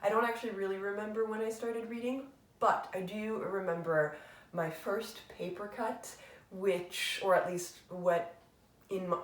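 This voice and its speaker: A woman's voice: fairly low pitch, distant mic. A woman hosting her book channel, chatting casually and reflectively, rambling with fillers and poking fun at herself. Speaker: booktube channel host. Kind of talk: casual chat answering a tag. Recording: roomy echo, voice away from the mic.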